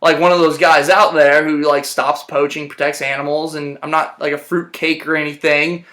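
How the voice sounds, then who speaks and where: Brief: young man, slightly high voice, relaxed rambling talker, slangy and filler-heavy, phone camera in a hospital room. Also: normal speed. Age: young adult. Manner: laid-back, rambling, slangy with lots of fillers. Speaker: interviewee. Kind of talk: casual chat. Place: hospital room, lying in bed.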